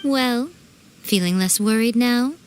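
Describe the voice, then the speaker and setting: A woman voicing a composed, playfully scheming fox-spirit shrine maiden in a video game, teasing and unhurried. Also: on the high side, very noisy. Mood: knowing, teasing.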